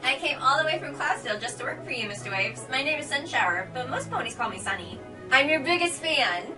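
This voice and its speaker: A woman's voice: high-pitched, animated, fairly quick, far from the mic, music in the background. A woman playing an eager, friendly young pony assistant, earnest and apologetic, in a radio play. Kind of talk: amateur radio play acting.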